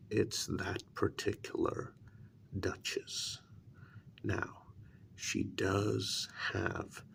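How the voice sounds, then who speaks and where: A man playing a calm, calculating vampire clan member in a soft-spoken ASMR role-play, speaking in a measured, hushed way with deliberate pauses. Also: low voice, gravelly, a little hiss or hum, full character voice. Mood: soft, reassuring.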